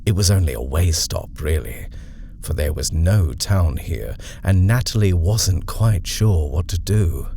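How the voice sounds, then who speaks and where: Deep-voiced man narrating a horror tale. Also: lively intonation, a little slow, warm tone, no room sound.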